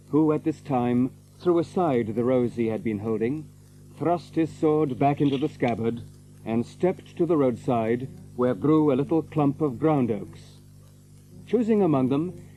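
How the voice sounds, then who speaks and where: An actor serving as the measured storyteller of an old legend in an audio drama. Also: male voice, mid-range voice, dark tone, a little hiss or hum, close to an everyday voice, poor audio. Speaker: narrator.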